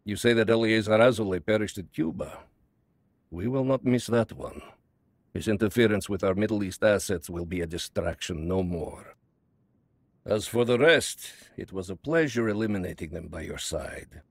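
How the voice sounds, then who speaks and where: An actor playing a calm, ruthless Soviet spymaster, speaking slowly and with cold confidence. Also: male voice, on the low side, no room sound. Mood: cold, commanding. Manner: slow, measured, coldly confident.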